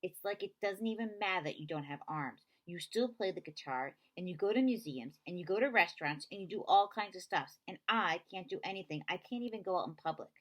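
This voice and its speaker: A woman's voice: fairly low pitch, lively intonation, a little fast, few pauses, rough recording. A woman reading a children's novel aloud, voicing an upset, bitter boy who speaks in exasperated, insistent outbursts.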